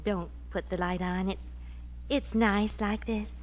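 An actress playing an excitable, sentimental wife and mother in old radio comedy, with an expressive, exclamatory delivery. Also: medium pitch, unhurried, natural voice. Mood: tender. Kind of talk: 1940s radio comedy acting. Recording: dull, noisy old radio recording.

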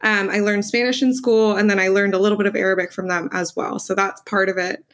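A woman's voice: mid-range voice, flat delivery, quick pace, fairly bright tone. A woman in her twenties whose first language is Armenian, answering interview questions candidly as a young lawyer. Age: 20s. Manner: candid, earnest, with fillers. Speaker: guest lawyer.

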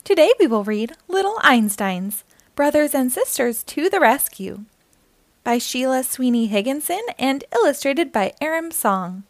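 A woman hosting a children's storytime, reading aloud in an animated, encouraging way and speaking directly to young listeners. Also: high-pitched, unhurried, no room sound.

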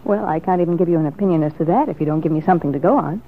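An actress playing a determined, sharp-tongued woman in a classic radio soap opera, with a haughty, sarcastic manner. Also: lowish voice, rapid-fire. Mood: insistent. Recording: muffled lo-fi vintage radio recording.

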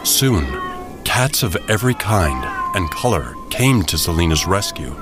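Deep-voiced male storyteller over music. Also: sing-song, unhurried. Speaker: narrator. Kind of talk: dramatic scripted storytelling narration. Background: music bed.

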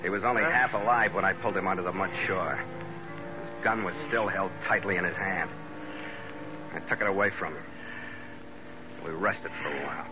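A man's voice: low-pitched, moderate pace, long pauses, loud music behind the voice, natural voice. An actor playing a tough, hard-boiled American sea captain who narrates his own radio adventure in clipped, wry words. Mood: terse. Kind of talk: 1940s-50s radio adventure acting. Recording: muffled, very noisy vintage radio recording.

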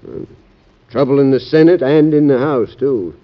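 An actor playing a cautious, loyal adviser to the President, measured and deferential, in a 1940s radio drama. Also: male voice, medium pitch, natural voice. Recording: dull lo-fi old radio recording.

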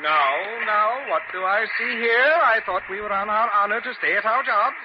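An actor playing a fussy, officious, easily flustered hospital administrator in a classic radio drama. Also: male voice, sits high, normal speed, close to an everyday voice. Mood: scolding. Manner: officious, prissy. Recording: muffled old radio, faint noise.

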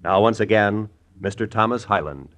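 A man's voice: fairly low pitch, normal speed, fairly bright tone. A man announcing a radio series in a formal, declamatory mid-century style.

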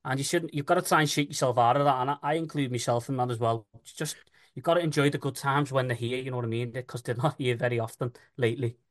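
A man's voice: medium pitch, steady tone, fast talker. A man co-hosting a football podcast, talking in an earnest, colloquial fan-chat style.

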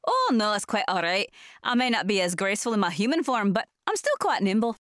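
A woman playing an earnest, erudite and gentle creature in human form in a fantasy audio drama, speaking formally and precisely. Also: medium pitch, big pitch swings, a little fast. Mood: gracious. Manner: formal, precise, fussily caring.

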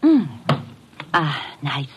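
A woman's voice: sits low, very animated, drawn-out words, lo-fi sound, noisy background, exaggerated voice. A woman playing a breezy, catty society hostess, languid and arch, in 1950s radio drama style.

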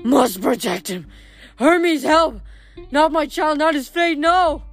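Possessed old mother, fantasy storybook female reader: high, frantic, prophetic cries in broken bursts, music underneath. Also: slow pace. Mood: frantic, anguished. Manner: gasping, crying out in broken phrases.

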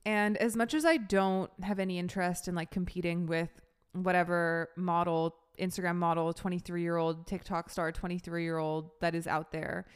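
A woman's voice: fairly low pitch, clear, resonant tone. A woman about to turn thirty-one, talking candidly in a casual podcast monologue, full of "like" and casual swearing. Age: 30s. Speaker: podcast host.

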